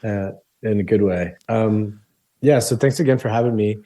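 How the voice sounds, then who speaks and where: Guest: man, deep mellow voice, loose talker full of ums, laughs easily. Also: normal speed, no room sound. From Colombia. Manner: rambling, many ums and restarts, laughs easily. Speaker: club founder, interview guest. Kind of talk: casual interview answers.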